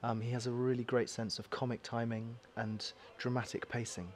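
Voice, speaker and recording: A male opera performer speaking appreciatively and in a measured way in a promotional interview, with classical music clearly behind him. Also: mid-range voice, level tone.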